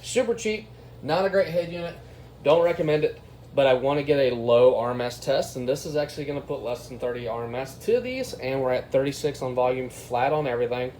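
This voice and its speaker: A man's voice: medium pitch, animated, a little fast, a little mellow. A man hosting a casual, unscripted product test, talking informally to his viewers as he reviews gear. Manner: informal, addresses viewers as guys. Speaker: car audio product reviewer and video host. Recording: clearly noisy background.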